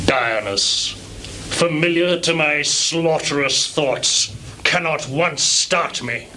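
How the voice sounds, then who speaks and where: Weary bitter shakespeare king, super slow n declamatory, noisy old theatre tape. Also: medium pitch, bright, forceful tone, full character voice. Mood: grim, numb. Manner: declamatory, deliberate, heavy pauses. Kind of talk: live Shakespearean stage recitation. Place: small theatre stage, live performance. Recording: noisy 1980s live theatre recording.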